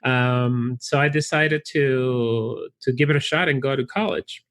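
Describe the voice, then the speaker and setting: A man with a Chilean Spanish accent, a college recruitment director, talking in a friendly, relaxed way with frequent restarts in a casual interview. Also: medium pitch, a little slow. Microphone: video call.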